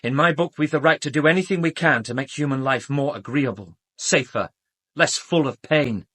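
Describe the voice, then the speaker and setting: A man's voice: fairly high pitch, moderate pace, fairly bright tone, slightly rough. An actor with a British accent playing an arrogant doctor in a radio drama, haughty, precise and emphatic in his own defence. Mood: self-justifying. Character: arrogant, self-justifying male doctor under police questioning.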